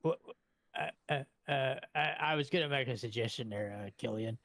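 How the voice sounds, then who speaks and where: A man improvising casually as a tabletop game player, halting and repeating words as he feels out his point. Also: mid-range voice, fairly bright tone, no room sound.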